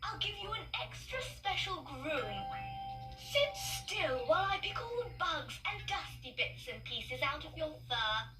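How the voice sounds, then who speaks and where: A woman voicing a doting, fussy mother monkey in a storybook, drawn-out, motherly and expressive. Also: high voice, pitched above the performer's own voice. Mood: fussing, affectionate. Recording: roomy, distant, music behind.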